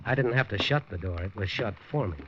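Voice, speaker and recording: A man's voice: on the low side, lively intonation, fast, heavy background noise, close to an everyday voice. An actor playing a hard-boiled, wisecracking newspaper reporter who narrates his own story in a 1950s radio drama. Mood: narrating. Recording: dull old radio broadcast with music.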